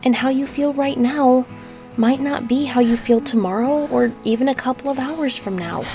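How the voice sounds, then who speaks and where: A woman playing a gentle, nurturing friend in an audio roleplay, speaking tenderly and reassuringly. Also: high voice, moderate pace, faint music behind. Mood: gently reassuring. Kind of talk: comforting audio roleplay acting.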